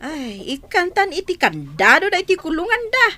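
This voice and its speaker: Woman as a fretful old mother, bright, animated. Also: very high-pitched, normal speed, full character voice. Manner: complaining, lamenting. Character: elderly village mother, fretful and fed-up wife. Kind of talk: Ilocano comedy radio drama acting. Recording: noticeable background noise.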